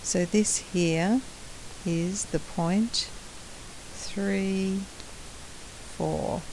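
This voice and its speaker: A woman teacher with a croaky voice gives a patient maths lesson recorded at home on a laptop, clearing her throat now and then. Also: medium pitch, fairly even intonation, darkish tone, a little hiss or hum, rough recording. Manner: slow, patient explaining, with frequent clearing of the throat.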